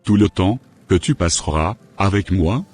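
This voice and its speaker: A man voicing a menacing, domineering spiritual master in an amateur cartoon dub, speaking in a commanding, deliberate way. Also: deep voice, lively intonation, darkish tone, breathy edge, soft background music, heavily acted. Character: menacing, domineering male spiritual master, a sinister occult healer.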